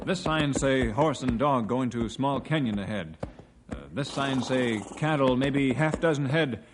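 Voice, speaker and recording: An actor playing a calm, terse tracker who speaks clipped broken English in an old radio drama. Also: male voice, mid-range voice, measured pace, lightly acted. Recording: noisy old radio recording.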